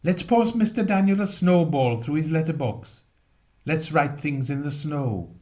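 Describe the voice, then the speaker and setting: A man voicing an excitable, imaginative young boy in a storybook, eager and animated. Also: a little high, measured pace, pitched above the performer's own voice. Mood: playful excitement. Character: excitable, imaginative young boys plotting mischief.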